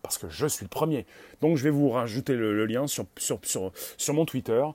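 A man hosting a livestream, talking casually to his viewers in an excitable, rambling, self-promoting way. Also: medium pitch, normal speed, no room sound. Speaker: livestream host.

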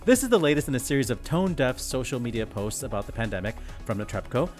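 A man co-hosting a podcast, reading scripted news items in a wry, expressive way. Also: medium pitch, fast, soft background music. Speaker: podcast co-host.

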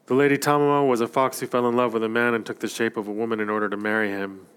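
A man reading a literary story aloud in a measured, reflective way. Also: medium pitch, flat delivery, a bit bright, smooth. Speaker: narrator.

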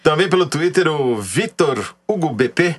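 Wry, laughing podcast co-host. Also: male voice, on the high side, animated, moderate pace, slightly rough. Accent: São Paulo. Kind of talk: casual political podcast chat.

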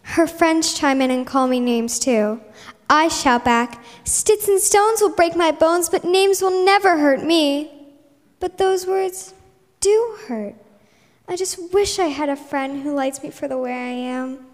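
A woman's voice: sits high, a little slow. A woman playing a lonely, hurt eight-year-old girl in a dramatic monologue. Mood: defiant, then sad. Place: large reverberant hall. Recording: echoey hall with long reverb.

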